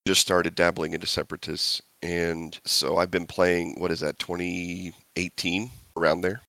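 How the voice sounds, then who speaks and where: A man's voice: low voice, level tone, normal speed, creaky, sharp tone, no room sound. A man chatting as a podcast guest about his tabletop game strategy, rambling and correcting himself with enthusiasm. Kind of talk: casual interview chat.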